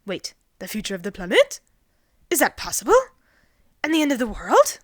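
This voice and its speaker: Woman putting on a loud, arrogant alien invader, pitched up. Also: huge pitch swings, normal speed, no room sound, studio quality. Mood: irritated, puzzled. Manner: shouting, bombastic, refers to himself in third person.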